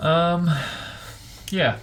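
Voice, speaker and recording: A man's voice: fairly high pitch, drawn-out words, fairly bright tone. A man hosting a book discussion, reading a story and chatting casually about it. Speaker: host and reader. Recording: noticeable background noise.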